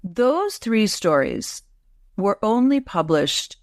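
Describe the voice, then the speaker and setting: A middle-aged woman speaks as a guest scholar, laying out her points patiently and methodically. Her voice sits at an ordinary pitch and is recorded dry and close, with no room sound around it. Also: big pitch swings, no echo. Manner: measured, explanatory, careful restarts. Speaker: literature professor and guest. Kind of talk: interview answers.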